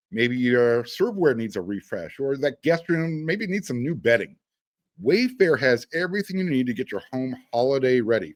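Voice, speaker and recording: A man hosts a sports podcast in a mid-range voice with lively, swinging intonation. He speaks in a casual, conversational style. The sound is dry and close. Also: mid-range voice, no room sound. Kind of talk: casual sports talk and ad reads.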